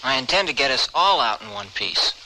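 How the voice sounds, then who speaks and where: An actor playing a hunted, protective outlaw in a radio western, with an earnest manner. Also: male voice, medium pitch, very animated, brisk, pushed and bright. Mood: steady, guarded. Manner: earnest, urgent. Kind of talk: radio western drama acting. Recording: noisy old radio drama recording.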